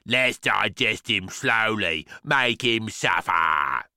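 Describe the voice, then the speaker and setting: A cruel, humongous cauliflower acted by a man from a children's tale. It bullies and menaces at a slow, deliberate pace, forceful and close in a dry space. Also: lowish voice, lively intonation, smooth, no echo, full character voice. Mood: cruel, gloating.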